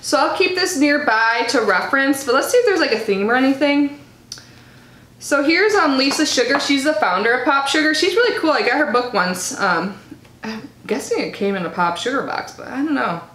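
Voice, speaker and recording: A young woman with a slightly high voice talks through an unboxing in a relaxed, rambling way. Her voice is noticeably nasal from allergies. The room is echoey. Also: normal speed, slight background noise. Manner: chatty and bubbly, lots of likes and ums. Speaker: unboxing vlogger. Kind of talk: casual unboxing chat.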